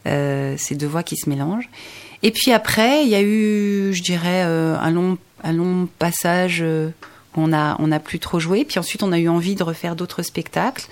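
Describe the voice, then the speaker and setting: Woman, forties, Indonesian native speaker, low voice, hesitant, many fillers, singer interviewed on radio. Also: normal speed. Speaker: singer, guest.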